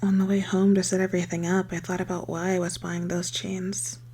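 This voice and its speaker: A woman playing an unstable, lovesick young circus girl turned kidnapper in an intimate ASMR roleplay, speaking haltingly in a breathy voice that slips into whispers. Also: lowish voice, little pitch movement, mellow, a little hiss or hum, natural voice. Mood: defeated, quiet. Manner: intimate, halting, stammering, swinging from sweet to desperate. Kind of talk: scripted ASMR roleplay monologue.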